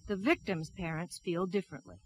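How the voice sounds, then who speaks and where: A woman reading scripted television news documentary narration as a reporter, in a measured, dramatic storytelling manner. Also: fairly low pitch, slightly rough, rough recording.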